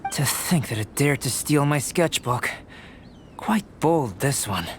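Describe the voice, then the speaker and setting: An actor playing a reserved, scholarly young male alchemist in a video game, speaking in formal, measured, deliberate phrases. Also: medium pitch, expressive, slight background noise. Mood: coolly displeased. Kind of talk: scripted video game dialogue.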